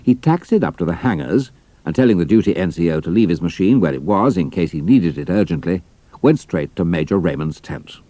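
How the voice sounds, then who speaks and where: Deep, mellow British male narrator. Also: very animated, brisk, no room sound. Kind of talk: scripted adventure story narration.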